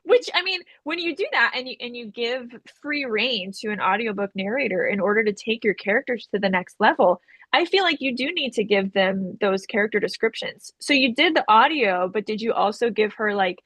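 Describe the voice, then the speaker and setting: A woman's voice: fairly high pitch, expressive, a little fast, a bit bright, dry recording. A woman author asking questions and telling stories in a casual video-call chat. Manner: curious, asks questions.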